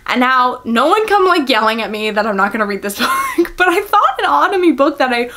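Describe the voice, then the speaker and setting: A woman's voice: high voice, huge pitch swings, a little fast, noisy background. A young adult woman chatting casually to viewers as a book vlogger, gushing and giggly, with lots of filler words. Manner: gushing, informal, filler-heavy with frequent "like".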